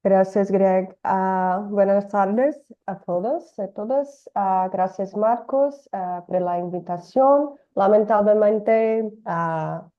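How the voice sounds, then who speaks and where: A woman's voice: mid-range voice, slow, hardly any pauses. Hesitant woman on dull call audio. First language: Portuguese. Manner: hesitant, many fillers. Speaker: panelist. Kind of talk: virtual panel presentation. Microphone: video call.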